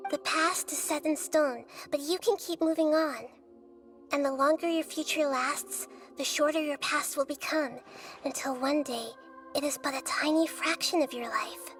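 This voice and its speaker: A small, wise goddess in a game cutscene. The voice is very high and childlike, measured and gently reassuring, with soft music beneath. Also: female voice, fairly even intonation. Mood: consoling. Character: wise, gentle, childlike little female deity. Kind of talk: video game cutscene acting.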